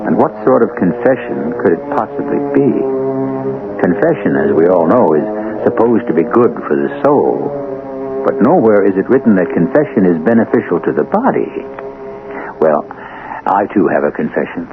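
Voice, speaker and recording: An urbane, ominous radio host narrating a mystery. His voice is deep and theatrical, with deliberate wry phrasing. It comes over a tinny broadcast with music clearly behind. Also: very animated.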